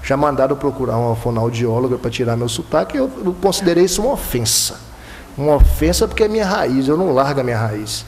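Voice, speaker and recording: A man's voice: mid-range voice, animated, quick pace, a little mellow. Man, Minas–Bahia border accent, emphatic debate talk, indignant rising bursts, lecture hall, faint background hiss. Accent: Brazilian Portuguese, Minas–Bahia border. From Brazil, Minas Gerais–Bahia border. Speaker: electoral prosecutor in a debate. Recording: long hall reverb, faint noise.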